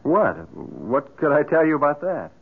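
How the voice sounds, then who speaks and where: Steady, reassuring male friend, expressive pitch, earnest vintage radio drama, tinny band-limited sound. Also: creaky, breathy edge, exaggerated voice. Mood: earnest explaining. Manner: measured, soothing, earnest. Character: calm, reasonable, reassuring male family friend. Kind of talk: old-time radio drama acting.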